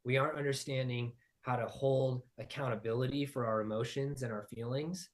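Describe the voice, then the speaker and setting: A man speaking at an everyday middle pitch, spinning out long abstract thoughts in a casual spiritual conversation. His sentences wander and restart as he builds each idea. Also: fairly even intonation, fairly quick. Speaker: podcast guest.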